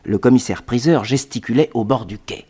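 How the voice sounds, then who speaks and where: A man's voice: mid-range voice, fairly quick, dark tone, lightly acted. An actor narrating as a young male sidekick in a radio drama, telling the story directly to listeners.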